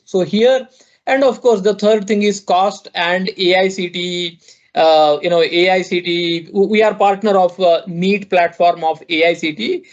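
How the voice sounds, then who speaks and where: Man, Indian English accent, high voice, halting delivery with fillers and restarts, product demo, dull lo-fi sound. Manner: halting, full of "you know" and restarts. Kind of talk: online sales demo presentation. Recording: dull lo-fi audio.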